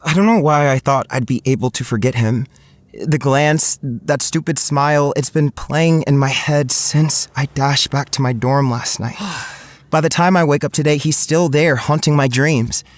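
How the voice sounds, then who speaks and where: A man's voice: mid-range voice, a little slow, no room sound, lightly acted. A man playing a lovestruck, anxious young college student, narrating his inner thoughts intimately in an audio drama. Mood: frustrated, smitten. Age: young adult.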